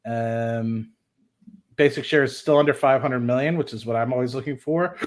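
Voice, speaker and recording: Male host, medium-pitched voice, casual live commentary, reading and reacting in an informal thinking-aloud way. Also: normal speed. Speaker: host of an investing livestream.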